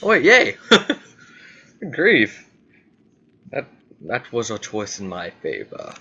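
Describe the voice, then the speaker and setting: Guy doing a casual lets play, lowish voice, really slow talker, playful, bit of noise behind him. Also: wildly swooping pitch, bright, forceful tone, muffled recording. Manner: playful, talks to himself, drawn-out exclamations. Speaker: gaming video host. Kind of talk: casual gameplay commentary.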